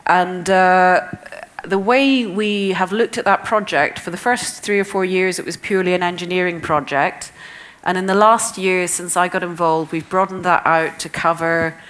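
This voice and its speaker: A woman's voice: lowish voice, steady tone, moderate pace, a bit bright. A middle-aged woman with a chatty, self-deprecating manner, introducing speakers at a conference panel. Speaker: panel moderator. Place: conference breakout room.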